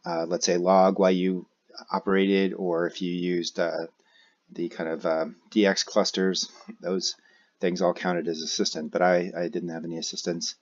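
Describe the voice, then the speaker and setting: Low-pitched American man, hesitant tutorial voice. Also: level tone, normal speed, highs cut off. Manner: hesitant, thinking aloud while typing. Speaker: tutorial presenter, amateur radio operator. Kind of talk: unscripted screencast software walkthrough. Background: keyboard typing and mouse clicks.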